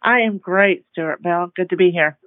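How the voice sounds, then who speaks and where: A man's voice: sits high, normal speed, no room sound. A man co-hosting a podcast, chatting casually with short, agreeable replies. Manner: brief, agreeable responses. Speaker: podcast co-host. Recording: tinny phone-like line.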